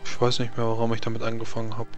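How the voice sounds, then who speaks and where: A man's voice: mid-range voice, monotone, very fast, soft background music, natural voice. A man voicing a stubborn, tired little girl in short, simple, insistent replies for a tabletop game. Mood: plain, listless. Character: stubborn, tired little girl addicted to a drink, dreaming of knighthood.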